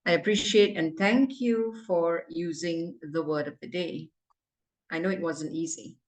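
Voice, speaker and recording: A woman's voice: on the low side, measured pace, a little mellow, distant mic. A woman with a Malaysian accent, giving a stern, emphatic language evaluation at a club meeting. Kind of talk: club meeting report on a video call.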